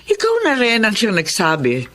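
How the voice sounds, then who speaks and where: A concerned older mother in a Filipino radio drama. Her mid-pitched voice swings widely, questioning and expressive, with a Filipino accent. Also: normal speed.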